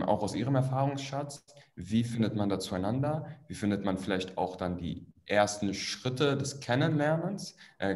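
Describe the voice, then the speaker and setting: A man's voice: fairly low pitch, a little mellow. A young man moderating an online panel in German, asking polite, well-structured questions. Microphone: video call webcam.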